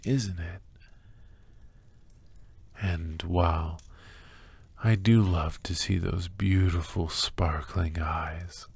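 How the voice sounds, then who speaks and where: A man playing an obsessive, menacingly tender captor in an ASMR roleplay, speaking softly and intimately, often slipping into whispers. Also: low voice, gravelly, husky, no echo, full character voice. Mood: soothing. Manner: soft, intimate, drawn-out, often dropping to whispers.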